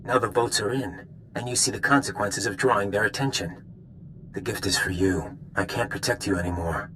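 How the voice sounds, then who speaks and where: An anxious, serious intelligence officer in a video game. His voice is on the low side and terse, with faint ambience behind it. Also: moderate pace, sharp tone, slight background noise, poor audio. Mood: grave, regretful.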